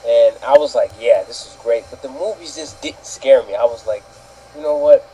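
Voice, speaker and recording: A man's voice: medium pitch, wildly swooping pitch, moderate pace, gravelly, a crowd in the background, low-quality recording. A man giving his opinions as a panelist in casual, colloquial talk, often quoting his own reactions. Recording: background ambient noise.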